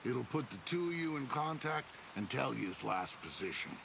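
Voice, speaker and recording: An actor playing a sly, evasive male covert operative with a gravelly, cagey way of talking in a video game cutscene. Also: mid-range voice, sing-song, normal speed. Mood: brisk, evasive. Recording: hiss and rain behind voice.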